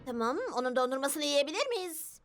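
A tough little cartoon girl with a blunt streak. Her childlike voice is very high, over loud music. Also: big pitch swings, fairly quick. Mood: teasing, blunt. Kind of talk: dubbed cartoon voice acting.

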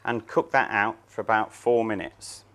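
A man's voice: fairly low pitch, normal speed, fairly bright tone, slight background noise. A man in his thirties, a chef, talking through a cooking demonstration step by step in a large showroom kitchen. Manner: plain, step-by-step explaining while working.

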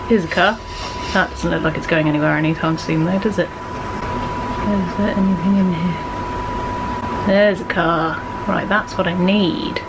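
A woman's voice: fairly low pitch, fairly even intonation, a little slow, pauses often, heavy background noise. A woman in her thirties giving casual, reactive gameplay commentary, self-mocking and talking to herself and the game. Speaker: gamer hosting a playthrough. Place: small furnished room at home, indoors.

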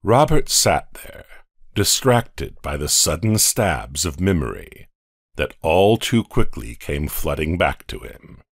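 A man narrating a horror story in a slow, dramatic way. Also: rumbling low, sing-song, dry recording, studio quality.

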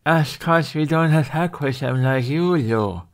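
A man's voice: a little high, a little slow, voice pushed up, lightly acted. A man voicing a wry, playful, scrawny teenage boy with sarcastic animation in an audiobook. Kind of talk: audiobook character acting.

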